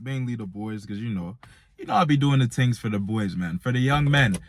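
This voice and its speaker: A man's voice: medium pitch, animated, fairly quick, a little hiss or hum. A nineteen-year-old man with an American accent talking casually in a motivational vlog, slangy and speaking directly to his listeners. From Nigerian-born, grew up in America from age four. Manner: slangy, direct address to young men, "bro" and "man". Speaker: motivational vlogger.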